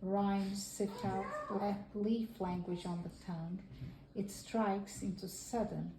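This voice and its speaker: Slow-reading woman in an echoey noisy room. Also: mid-range voice, little pitch movement. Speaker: family member reading poetry. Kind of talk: amateur poetry reading. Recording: noisy, echoey amateur home recording.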